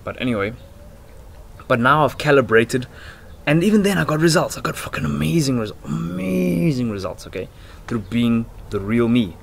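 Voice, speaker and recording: A man in his twenties chatting casually and excitably in slangy, rambling talk, like a vlogger. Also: medium pitch, moderate pace, creaky, slightly rough. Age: 20s. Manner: excitable, slangy, swearing, breaks into giggles. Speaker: self-improvement vlogger. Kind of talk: casual chat, rambling monologue. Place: outdoors on a house porch. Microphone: laptop built-in microphone at arm's length. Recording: noisy laptop microphone outdoors.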